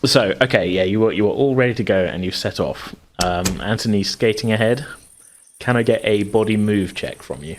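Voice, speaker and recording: A man's voice: on the low side, moderate pace, slight background noise. A man running a tabletop game as game master, narrating casually in hesitant, conversational phrasing.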